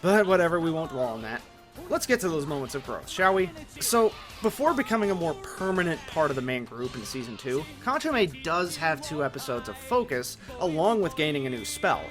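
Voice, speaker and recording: Video essayist: male, slightly high pitch, opinionated conversational narration over soft music. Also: very animated, fairly quick.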